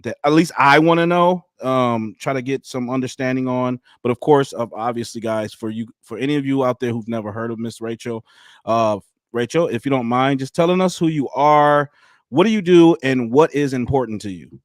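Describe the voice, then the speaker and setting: A man's voice: medium pitch, moderate pace, no echo, clean studio sound. A man in his thirties hosting a casual live-stream interview, chatty and loose, laughing easily. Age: 30s. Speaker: livestream host.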